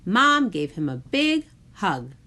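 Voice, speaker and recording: A woman reads a children's story aloud at an unhurried pace. Her pitch swings widely and she shapes each sentence with deliberate expression. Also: big pitch swings, sharp tone. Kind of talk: animated picture-book read-aloud.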